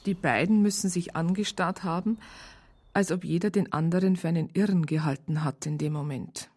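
A woman's voice: fairly low pitch, fairly even intonation, a little fast, a little mellow, close to an everyday voice. A woman playing a wry narrator in a radio crime drama, telling the story in a conversational, colloquial way.

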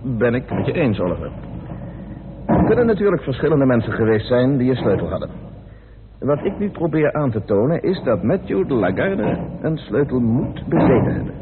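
A methodical male investigator played by an actor who leads with polite insistence in a mid-pitched voice. The sound is an old radio play, thin and noisy. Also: big pitch swings, moderate pace, narrow, tinny audio, natural voice. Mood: reasoning, insistent. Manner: polite but insistent, explanatory. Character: brisk, methodical male chairman of amateur sleuths. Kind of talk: Dutch radio drama acting.

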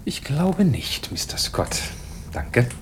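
An actor in a German radio drama playing a wealthy master of the house, wry, evasive and given to flowery irony. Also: male voice, medium pitch, very animated, takes it slowly, noisy background. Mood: urbane, flowery. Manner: wry, ironic, grandiloquent.

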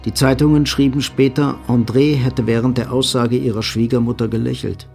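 A man giving measured, sober narration of a literary radio drama. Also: medium pitch, level tone, creaky, darkish tone, faint music behind.

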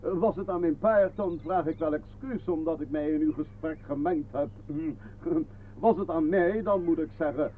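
A man's voice: on the high side, unhurried, natural voice. An actor playing a courteous, whimsical horseman who speaks formally and musingly in a radio play. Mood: courteous, evasive. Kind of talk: absurdist radio play acting. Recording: noisy, phone-like old radio recording.

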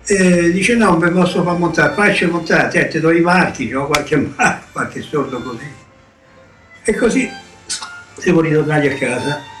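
A man's voice: on the high side, normal speed, music in the background. Older Roman man, echoing and distant. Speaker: deportation survivor. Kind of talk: rambling personal testimony.

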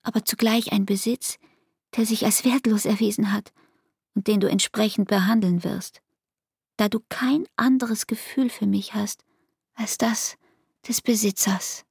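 An actress playing an anguished, devoted young barmaid in an intimate radio play, with speech and a breathy, half-whispered, intense delivery. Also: female voice, medium pitch, fairly even intonation, measured pace, darkish tone, no room sound, clean studio sound, full character voice. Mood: bitter, intense.

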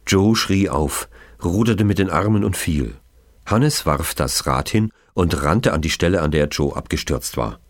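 A man's voice: low voice, animated, a little slow, no room sound, studio quality. A man reading a children's novel aloud as dramatic storybook narration. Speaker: audiobook reader.

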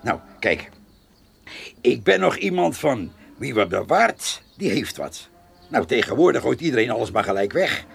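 Old village handyman, radio drama, gossipy, long-winded, hesitant, creaky voice, animated pitch. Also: moderate pace, exaggerated voice. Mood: folksy, amused. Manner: long-winded, hesitant, chuckling rural storyteller. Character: chatty, gossipy old village handyman who hoards odds and ends.

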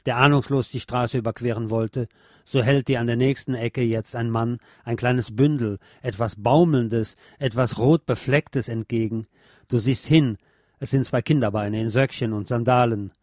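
A man giving a measured, solemn scripted reading of literary prose as narrator, articulating clearly. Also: mid-range voice, fairly even intonation, darkish tone, no echo, clean studio sound. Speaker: narrator and reader.

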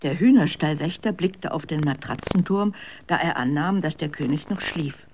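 A woman narrating a children's story in a dramatic, expressive scripted style. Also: low voice, moderate pace, slight background noise.

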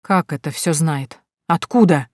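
A woman's voice: low voice, measured pace, darkish tone, no echo. A woman voicing a scheming young man in debt who talks in a curt, sneering way. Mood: spiteful. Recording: dry close recording.

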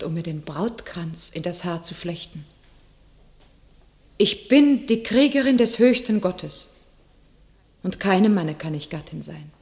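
Young holy warrior maid, devout, proud, solemn verse, radio drama. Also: female voice, medium pitch, darkish tone. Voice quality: solemn, declamatory. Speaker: actor in a radio play.

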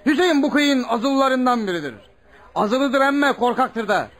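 Village café owner, high lively voice, folksy, exclamatory, radio play. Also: male voice, normal speed. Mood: eager, bossy. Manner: folksy, exclamatory, full of interjections. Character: folksy, talkative village coffeehouse owner, helpful busybody. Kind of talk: radio drama acting.

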